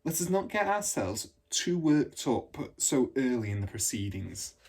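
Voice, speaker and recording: A kind, cautious storybook schoolmistress, played by a man reading aloud. The voice is a little husky and lilts widely, with patient, measured phrasing as if carefully explaining. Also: medium pitch, sing-song, a little mellow, exaggerated voice. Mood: calming. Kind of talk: children's storybook read aloud.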